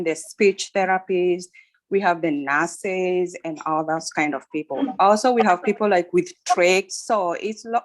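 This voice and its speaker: Slow-paced Kenyan woman. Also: mid-range voice. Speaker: nurse and job fair panelist. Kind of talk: informal testimonial and question answering over a video call.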